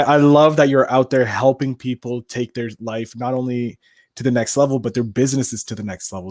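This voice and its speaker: A man's voice: medium pitch, darkish tone, dry recording, studio quality. A man hosting an interview podcast in an enthusiastic, self-deprecating way. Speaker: podcast host.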